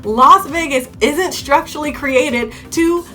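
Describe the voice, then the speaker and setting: A woman's voice: high-pitched, measured pace, sharp tone. A young woman from California's Bay Area, a beauty vlogger, chatting through a story-time video and often repeating herself. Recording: music playing underneath.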